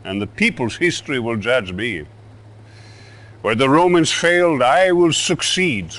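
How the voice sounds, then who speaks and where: Slow, menacing Soviet dictator. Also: male voice, medium pitch, animated, a bit bright. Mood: grandiose, ominous. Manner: slow, deliberate, ominous. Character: menacing Soviet dictator, older man, boastful and theatrical. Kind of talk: video game cutscene acting.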